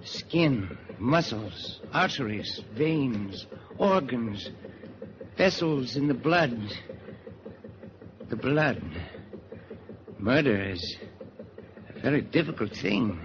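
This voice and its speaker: An arrogant wartime Nazi spy, who bargains for himself in a halting, theatrical way. The sound is a dull, noisy 1940s broadcast recording. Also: male voice, medium pitch, lively intonation. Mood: wary, persuasive. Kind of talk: 1940s radio drama acting.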